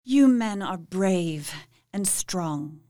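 An actress playing a haunted young wife, intense and measured, pleading with resolve. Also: female voice, mid-range voice, expressive, warm tone. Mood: resolute. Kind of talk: audio drama acting.

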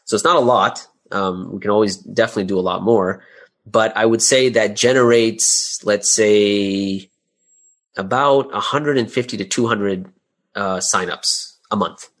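Low-voiced man, casual interview guest. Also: measured pace, a bit bright. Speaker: interview guest, audio drama creator and business owner. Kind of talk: casual conversational interview answers.

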